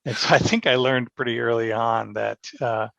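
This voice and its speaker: A man talking casually and reflectively as a podcast guest, thoughtful and halting, often pausing mid-sentence. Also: medium pitch, fairly even intonation, creaky, a bit bright, no room sound. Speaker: podcast guest, amateur theater member. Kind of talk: casual reflective interview conversation. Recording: dry, dull lo-fi sound.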